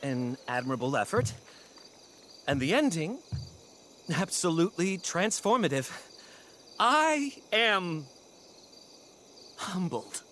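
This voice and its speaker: A woman's voice: lowish voice, sing-song, slow pace, long pauses, a bit bright, slight background noise, full character voice. A woman voicing an effusive drama teacher in a video game, giving grandiose, gushing praise. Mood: awed, gushing.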